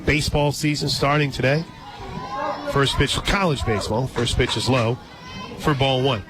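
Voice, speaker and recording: A man calling softball play-by-play live on the radio, mixing clipped pitch calls with casual asides. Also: fairly even intonation, moderate pace. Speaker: sports radio play-by-play announcer. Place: radio booth at an outdoor softball stadium. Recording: loud crowd and music behind. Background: loud ballpark crowd and music.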